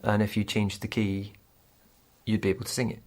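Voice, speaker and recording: A man's voice: on the low side, flat delivery, a little fast, darkish tone. Musing podcast host, a man. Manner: thinks aloud, restarts sentences while searching for words. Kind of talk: conversational podcast interview.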